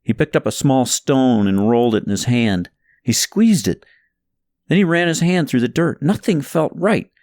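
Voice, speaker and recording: A man narrating a story from a script in an expressive, suspenseful, dramatic way. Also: mid-range voice, moderate pace, no room sound, clean studio sound. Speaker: audiobook narrator reading own novel.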